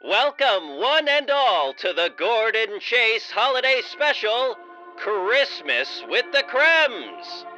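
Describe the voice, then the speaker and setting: High, grandiose radio serial announcer. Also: male voice, sing-song, pushed and bright, muffled recording, faint music behind, exaggerated voice. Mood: grand, festive. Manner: grand, drawn-out showmanship. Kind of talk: theatrical vintage radio special narration.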